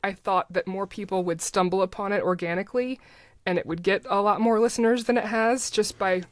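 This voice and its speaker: A middle-aged woman speaking as a podcast guest in a casual, halting, informal and rambling way. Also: medium pitch, fairly even intonation. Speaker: podcast guest, audio drama creator. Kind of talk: casual interview chat.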